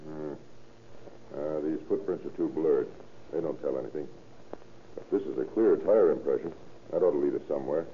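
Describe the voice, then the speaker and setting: An actor playing a brisk, shrewd male police captain, clipped and commanding, in an old-time radio crime drama. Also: extremely low pitch, slight background noise. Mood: businesslike, musing. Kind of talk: 1930s-40s radio drama acting. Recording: thin, phone-like old-time radio recording.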